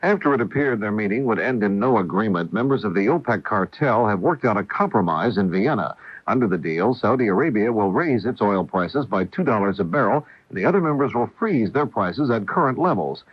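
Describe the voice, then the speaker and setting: An American man anchors a radio newscast in a low voice, reading from a script. The sound is dry and dull, like a 1980 broadcast. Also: animated, a little fast, slightly rough, no echo.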